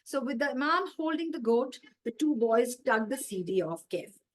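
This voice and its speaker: Indian woman storyteller, slightly high voice. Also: lively intonation, brisk. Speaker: author reading her own story. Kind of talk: expressive children's story read-aloud.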